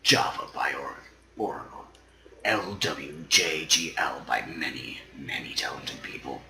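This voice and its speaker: A man giving a comedic dramatic reading in an over-the-top theatrical manner, his voice a little hoarse. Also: very deep voice, lively intonation, unhurried, background noise. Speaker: reader.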